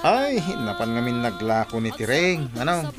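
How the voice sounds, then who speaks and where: Actor as a wheedling, animated Ilocano husband. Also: male voice, medium pitch, normal speed, over music, close to an everyday voice. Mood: flustered. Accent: Ilocano, northern Philippines. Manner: coaxing, playful pleading. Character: idle, wheedling husband sweet-talking his hardworking wife. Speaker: radio drama actor. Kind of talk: Ilocano radio drama acting.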